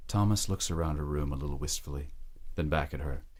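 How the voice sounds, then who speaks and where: A man narrating a dark romance audio drama in measured, deliberate short phrases. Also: very deep voice.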